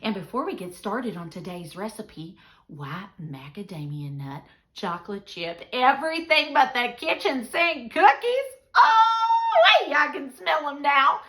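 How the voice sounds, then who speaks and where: A woman's voice: sits high, very animated, a little slow, pushed and bright, pitched above the performer's own voice, full character voice. A woman playing a perky, folksy baking podcast host, speaking in an exuberant and emphatic way. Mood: gushing, cheerful.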